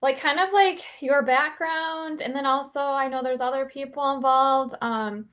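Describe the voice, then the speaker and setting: Higher-voiced woman, informal interviewer. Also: a little slow, fairly bright tone. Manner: informal, tentative, frequent "like". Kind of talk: casual interview chat.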